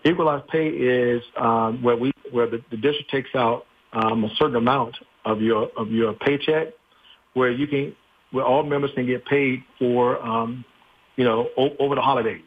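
Tinny phone sound, husky guy answering an interview, stops and starts. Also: medium pitch, steady tone, moderate pace. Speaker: union official being interviewed. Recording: tinny, narrow phone-like line.

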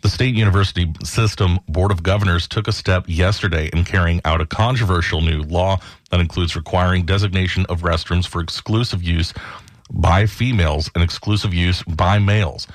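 A male radio news anchor with a very low voice reads headlines in a steady, measured way. The studio sound is dry and close, with no room around it. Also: no echo. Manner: steady, measured newsreader delivery. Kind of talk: scripted news reading.

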